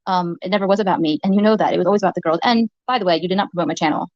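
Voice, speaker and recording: A woman with a soft voice, rambling hesitantly in a clip from a livestream. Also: lowish voice, racing, no room sound, lo-fi sound. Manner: hesitant, many fillers and restarts.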